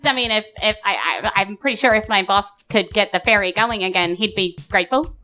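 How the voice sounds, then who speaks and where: A woman's voice: medium pitch, brisk, sharp tone, close to an everyday voice, poor audio. A woman with a New Zealand accent voicing a small, bored, quibbling gnome ticket seller in a live tabletop game. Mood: matter-of-fact. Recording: music under a live stage recording.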